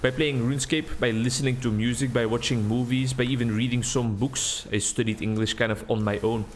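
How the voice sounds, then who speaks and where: Relaxed Belgian man musing over gameplay. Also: medium pitch, a little fast, slight background noise. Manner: rambling, relaxed, thinking aloud. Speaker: gaming video creator. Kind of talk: casual gameplay commentary. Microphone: desk mic with webcam.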